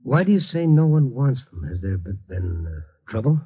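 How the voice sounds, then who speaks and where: An American actor playing a tough but kindly middle-aged reporter in 1950s radio noir, moving between terse narration and hesitant, gentle soothing. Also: male voice, mid-range voice, very animated, normal speed, breathy edge. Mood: uneasy, reassuring. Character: tough but kindly male night-beat reporter, troubled. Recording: muffled old radio recording.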